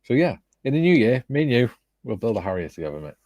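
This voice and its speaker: A man's voice: medium pitch, very animated, fast talker, a little mellow, no echo, clean studio sound. A man hosting a casual live model-building stream, joking and reading viewer comments aloud. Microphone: phone.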